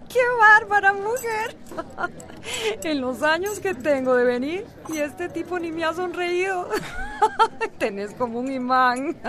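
An actress with a Costa Rican accent playing a talkative, affectionate friend, effusive and teasing, in a radio soap. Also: female voice, very high-pitched, very animated, slow pace, long pauses, bright, forceful tone, exaggerated voice. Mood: amused, teasing.

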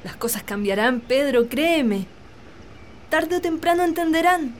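A determined, lovestruck young lady in a radio romance. Her voice is high and earnest. A faint noise sits behind it. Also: animated, unhurried. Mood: hopeful, insistent. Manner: earnest, pleading. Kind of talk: romantic radio drama acting.

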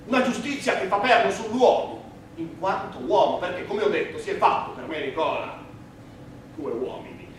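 An actor playing a proud, defiant condemned anarchist in a theatrical courtroom monologue, eloquent, halting and then biting. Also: male voice, high-pitched, fairly bright tone. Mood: earnest, reflective. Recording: roomy, distant stage recording with faint noise.

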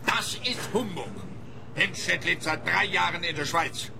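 An actor in a radio play playing a sardonic, condescending police investigator with a pompous, drawn-out delivery. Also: male voice, high-pitched, vocal fry. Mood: smug needling. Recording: busy crowd ambience behind.

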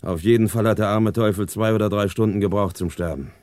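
A man's voice: fairly low pitch, fast, darkish tone, no echo, studio quality, lightly acted. A man acting a matter-of-fact police inspector giving precise, clipped reports in a 1960s German radio play. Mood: factual. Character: businesslike male police inspector reporting to his chief. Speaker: actor in a radio crime drama.

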